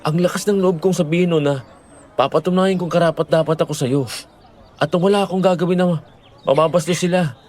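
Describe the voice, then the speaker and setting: A man plays a young Filipino boyfriend in an audio drama. His fairly high voice is earnest and gentle, reasoning patiently and placating like someone responsible. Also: steady tone, fairly quick, lightly acted. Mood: earnest pleading.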